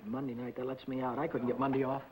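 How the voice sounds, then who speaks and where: A young working-class mechanic played by an actor from a 1950s noir film. He grumbles casually in very quick, clipped phrases. The old soundtrack is clearly noisy. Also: medium pitch, little pitch movement, natural voice. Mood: casual, grumbling.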